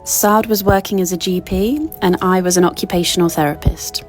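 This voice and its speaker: A woman giving a soft, scripted storytelling narration. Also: fairly low pitch, steady tone, brisk, faint music behind.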